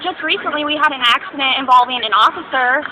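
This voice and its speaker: A woman's voice: high-pitched, quick pace, pushed and bright. An American woman in casual conversation, answering questions as an interviewee. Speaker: emergency dispatcher being interviewed. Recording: noisy outdoor recording with traffic.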